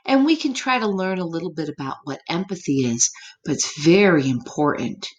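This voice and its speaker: A woman reading a story aloud to young children, gentle and expressive, pausing to chat and ask them questions. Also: fairly low pitch, highs cut off. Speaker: children's story reader, teacher. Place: room at home.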